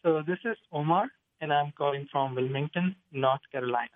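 A man's voice: a little high, brisk. Man from Pakistan calling on a phone line. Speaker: caller. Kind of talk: casual question on a call-in show. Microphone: telephone. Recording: tinny, narrow phone line.

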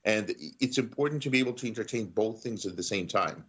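A man from Ukraine, a native Russian speaker, talking fast and urgently as a guest in a news interview, with many restarts and fillers. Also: on the low side, a crowd in the background. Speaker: journalist guest.

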